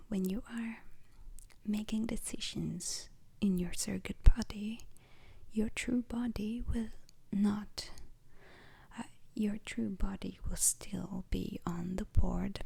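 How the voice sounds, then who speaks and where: A woman playing a calm, formal space-agency instructor in an ASMR roleplay, lecturing in a breathy whisper with deliberate pauses. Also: medium pitch, heavily acted. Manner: slow, deliberate, hesitant pauses, formal wording.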